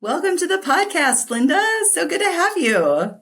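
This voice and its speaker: A woman's voice: high voice, sing-song, moderate pace, fairly bright tone. A woman hosting a podcast, reading a guest introduction and then chatting casually and effusively, with many fillers and laughs. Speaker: podcast host. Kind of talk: casual interview chat.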